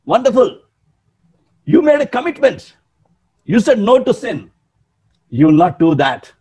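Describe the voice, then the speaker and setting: A man's voice: sits high, measured pace, slightly rough. Emphatic older man preaching a sermon. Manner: emphatic, animated, gesturing, calling out to listeners. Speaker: pastor. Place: small room indoors.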